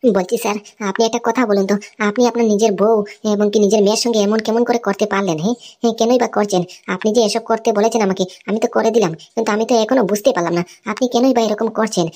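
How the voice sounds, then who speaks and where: A woman playing a brisk, inquisitive underling to a boss in a comic cartoon. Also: mid-range voice, steady tone, a little mellow, close to an everyday voice.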